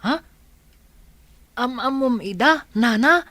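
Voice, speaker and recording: An actress playing a polite, timid orphaned child with a plaintive manner in an Ilocano radio drama. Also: female voice, on the high side, lively intonation, takes it slowly, bright, forceful tone, no room sound, exaggerated voice. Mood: timid, puzzled.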